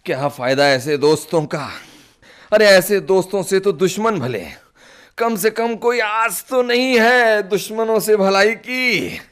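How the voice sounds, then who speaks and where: High-voiced sick, cranky man. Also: expressive, measured pace, a bit bright, clear, resonant tone. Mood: suffering, self-pitying. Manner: exasperated, complaining, groaning, melodramatic. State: ill with fever and cough. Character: irritable bedridden sick man scolding his servant. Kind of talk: Hindi radio play acting.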